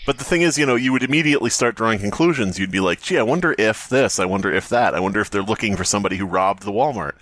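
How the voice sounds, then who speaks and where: A man with a very lively, swooping delivery and a close, dry sound. He riffs and banters casually as a podcast co-host, with a rambling sarcastic streak. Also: on the low side, rapid-fire, few pauses, no echo. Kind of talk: casual comedic banter and riffing on a story read aloud.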